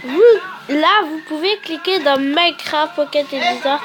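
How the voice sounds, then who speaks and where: A woman's voice: high-pitched, a little slow, a crowd in the background. A woman with a cold and a sore throat giving a chatty, rambling how-to tutorial as a YouTuber, often correcting herself.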